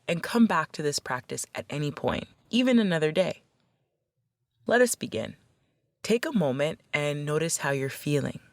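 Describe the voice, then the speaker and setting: A woman leading a guided meditation as a facilitator, speaking in a gentle, measured, instructional way. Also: deep voice, no room sound.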